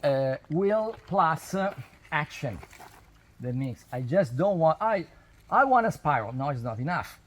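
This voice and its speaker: A man who is not a native English speaker gives an informal explanatory talk to a group in a halting, emphatic way, with non-native phrasing. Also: on the high side, sing-song. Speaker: spiritual workshop leader. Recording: noisy outdoor recording, natural ambience.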